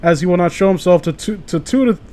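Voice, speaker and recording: A man's voice: sits high, expressive, fast, darkish tone. A man co-hosting a casual comedy podcast about internet horror stories, sweary, joking and exclamatory. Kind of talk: casual comedic chat with mocking read-alouds.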